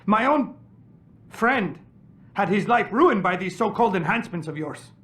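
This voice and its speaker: An actor playing an articulate, indignant activist doctor in a video game, speaking formally and pointedly. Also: male voice, high voice, lively intonation, normal speed, a bit bright. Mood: grave. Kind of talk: dramatic video game dialogue.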